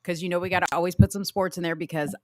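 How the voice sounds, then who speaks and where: A woman with a slightly low voice hosting a casual livestream, playful and quick with jokes. The sound is dry and close to the mic, with no room around her. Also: steady tone, no echo. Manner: playful, laughing, quick asides. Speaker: podcast co-host.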